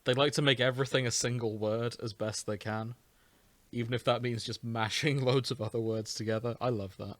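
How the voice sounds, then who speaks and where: A man's voice: mid-range voice, fairly even intonation, brisk, a bit bright, no echo. A British man hosting a podcast, chatting casually in a wry, self-deprecating way. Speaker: podcast host. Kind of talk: casual chat.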